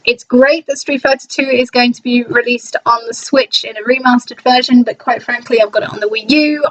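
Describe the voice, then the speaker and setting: A woman's voice: fairly high pitch, fairly bright tone, distant mic, low-quality recording. A woman cosplayer chatting casually to her viewers, hesitant and full of fillers. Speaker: cosplayer and vlogger. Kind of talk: casual video chat to viewers.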